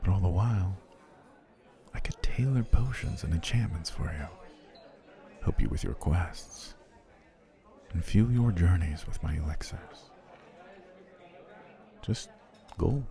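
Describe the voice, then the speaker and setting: A very deep, dark-toned potion seller, voiced by a man, speaks slowly and formally, heaping flattery on his dinner date. His gravelly voice often sinks into close, soft whispers, in the intimate manner of an ASMR roleplay. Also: full character voice. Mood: persuasive. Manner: formal, courtly, flattering speech. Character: smooth-talking male potion seller, formal and obsessive.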